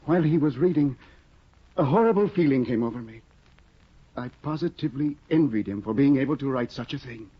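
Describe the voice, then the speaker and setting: A flustered, fussy young scholar. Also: male voice, mid-range voice, lively intonation, brisk, husky. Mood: fretful. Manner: flustered, fussing, exclaiming. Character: fussy excitable young male scholar husband. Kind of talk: 1950s radio drama acting. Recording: dull lo-fi 1950 radio broadcast.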